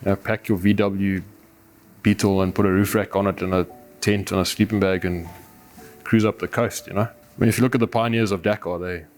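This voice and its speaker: A Zimbabwean man speaks in a low register that stays very even from phrase to phrase. His delivery is earnest and conversational, with a philosophical, reflective bent, like an adventurer being interviewed for a documentary. Soft music sits behind his voice. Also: brisk.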